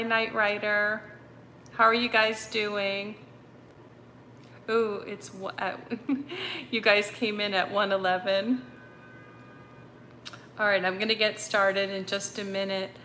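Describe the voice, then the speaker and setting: Slow, bright-voiced chatty woman livestreaming. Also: medium pitch, a little hiss or hum. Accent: slight Boston. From North Andover, Massachusetts. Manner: chatty, rambling, many ums and self-corrections. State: hot and sweaty. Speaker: medium hosting a livestream. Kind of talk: casual livestream chat with viewers. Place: apartment with broken air conditioning.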